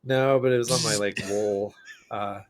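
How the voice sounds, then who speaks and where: Slightly low-voiced male podcast co-host. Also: fairly even intonation, normal speed, noisy background. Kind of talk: casual chat.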